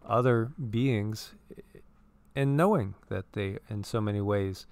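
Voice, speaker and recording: A man's voice: on the low side, slow, a little mellow, clean tone, no room sound. A man co-hosting a casual spiritual commentary show, talking in a rambling, earnest way and often repeating words. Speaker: co-host.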